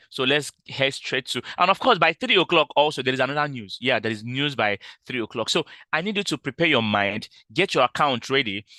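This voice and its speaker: A loud man teaches a live trading session over a call, repeating himself and stumbling through fillers as he explains. His voice is bright and crisp, and the sound is dry and close. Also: mid-range voice, no room sound. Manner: explanatory, repeats points, frequent fillers and restarts. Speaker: trading instructor. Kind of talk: live online trading class.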